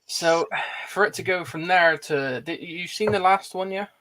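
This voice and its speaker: A man's voice: high-pitched, moderate pace, sharp tone, slight background noise, rough recording. A man hosting a casual film discussion in a conversational style. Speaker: host. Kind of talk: casual chat.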